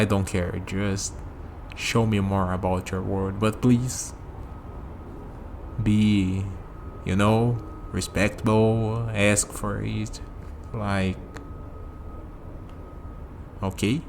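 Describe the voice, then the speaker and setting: A man playing a curious, skeptical young human in an ASMR vampire roleplay, talking hesitantly to the listener and pausing for replies, with steady noise behind him. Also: on the low side, vocal fry. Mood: eager, pleading. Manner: hesitant, conversational, leaves pauses for unheard replies.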